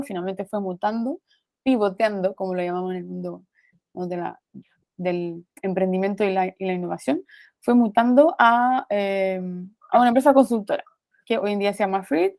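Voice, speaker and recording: A woman with a Romanian accent gives a guest lecture to university students over a video call. She talks at an ordinary pitch, often pausing on "eh" and checking in with "¿no?". The sound is dry and close, with no room around it. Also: darkish tone, dry recording. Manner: frequent "eh" fillers and "¿no?" tag questions. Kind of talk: online university class talk.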